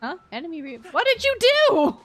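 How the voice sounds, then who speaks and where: A woman livestreaming a game, chatting casually in a playful, teasing, giggly and expressive way. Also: extremely high pitch, moderate pace, soft background music. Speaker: livestream host. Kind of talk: casual game-stream chat.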